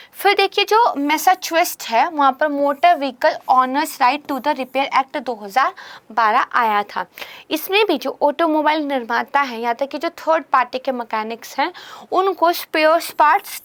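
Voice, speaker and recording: A young woman teaching an exam-prep lecture, a little hoarse, explaining steadily and checking in with "right?" and "theek hai". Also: high voice, fairly bright tone. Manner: explanatory, peppers speech with English terms and "theek hai". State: hoarse from a sore throat. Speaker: current affairs teacher.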